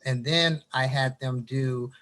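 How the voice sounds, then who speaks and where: A man from Indiana, a poet, reading his poem and then chatting about his writing over a video call. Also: mid-range voice. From Gary, Indiana. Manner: animated storyteller, quick bursts. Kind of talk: poetry reading, then casual chat.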